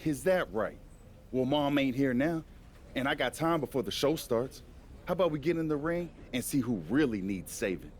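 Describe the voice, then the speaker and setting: Man acting guarded big-brother wrestler, blunt American slang, medium pitch, video game drama, faint ambience. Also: expressive, normal speed, slight background noise, close to an everyday voice. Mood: challenging. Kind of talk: acted video game cutscene dialogue.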